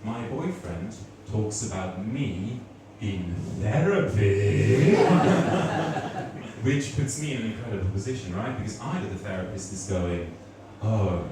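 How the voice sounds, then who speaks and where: A man from Switzerland with a slight German accent performing a stand-up comedy set, deadpan with bursts of exaggerated delivery. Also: on the low side, unhurried, recorded from a distance. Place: comedy club stage before a laughing audience. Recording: roomy club audio with audience noise.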